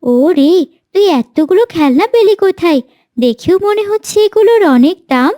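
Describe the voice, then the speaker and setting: Mother bird, acted by a woman: high voice, careworn, protective, earnest, for a children's cartoon, dry recording. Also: lively intonation, normal speed, dark tone, dry recording. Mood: surprised.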